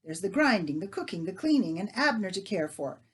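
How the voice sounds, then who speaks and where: A woman voicing a fussing, talkative middle-aged baker's wife who rattles on in a shrill, bustling way. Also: very animated, normal speed, husky. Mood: chattering.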